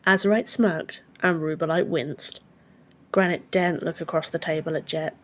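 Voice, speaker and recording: A woman's voice: low voice, steady tone, normal speed. A woman reading scripted fiction as an audiobook narrator.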